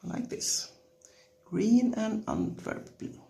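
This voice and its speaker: A woman with a non-native English accent giving a relaxed watercolour lesson in short, gently encouraging phrases while she works. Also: a little high, takes it slowly, creaky. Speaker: watercolour tutorial instructor. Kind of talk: relaxed painting tutorial, talking while working.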